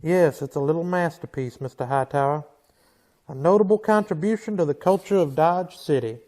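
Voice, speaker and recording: Man acting as stern western marshal, fairly high male voice, deadpan, sardonic, old-time radio drama. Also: normal speed, lightly acted. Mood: dryly sarcastic. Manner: dry, deadpan. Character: stern, dryly sarcastic frontier U.S. marshal.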